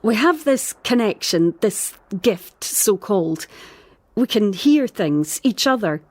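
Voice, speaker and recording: Scottish woman, audio drama, troubled island outsider, sensitive, earnest, expressive, mid-pitched. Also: takes it slowly. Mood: confiding, fragile.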